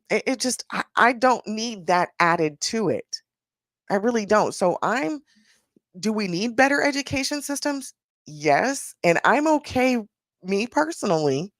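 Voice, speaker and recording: A sassy, outspoken woman talks through her opinions in a casual, blunt way and drags out her rhetorical questions for effect. Her voice sits low, and the sound is close and dry. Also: no room sound. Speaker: podcast host.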